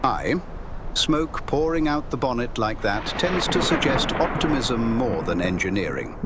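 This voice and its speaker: A man playing a dry, deferential male servant with sardonic wit in a radio drama, with loud weather noise behind. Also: mid-range voice, big pitch swings, unhurried. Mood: wry, gloomy. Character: dry, wry male servant greeting guests with gloomy wit.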